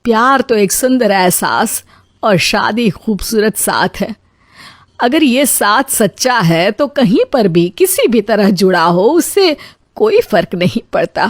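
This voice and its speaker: A woman's voice: mid-range voice, expressive, takes it slowly. A woman playing a quarrelsome middle-aged Indian mother-in-law in a dramatized moral story, with a theatrical, complaining delivery. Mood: softened.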